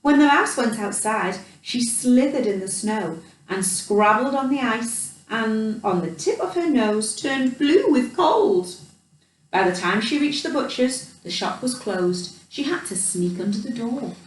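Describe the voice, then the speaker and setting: A woman reading a children's picture book expressively, in an animated storyteller's manner with chatty asides. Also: on the high side, unhurried. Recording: roomy echo, distant microphone.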